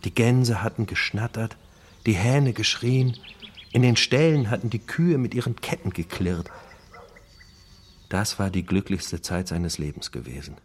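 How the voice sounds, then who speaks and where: A man playing the reflective narrator of a gangster's memoirs in a radio play, telling it in a measured, literary way. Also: lowish voice, a little hiss or hum, lightly acted. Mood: wistful.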